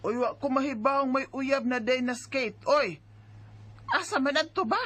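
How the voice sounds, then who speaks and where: A woman's voice: high voice, moderate pace, fairly bright tone. A woman acting a strict, worried middle-aged mother who scolds with indignant exclamations in a Bisaya radio drama. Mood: surprised.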